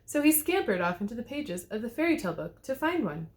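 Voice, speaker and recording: A woman reading a children's storybook aloud as a teacher would, with expressive storytelling. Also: fairly high pitch, fast. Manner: expressive, clearly paced storytelling. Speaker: teacher reading to second graders. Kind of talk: storybook read-aloud narration.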